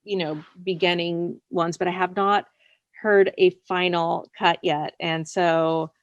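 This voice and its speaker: A woman's voice: on the low side, steady tone, takes it slowly, no echo, dull audio. A woman writer speaking casually as an interview guest, chatty, often laughing as she talks. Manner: chatty, laughs while talking.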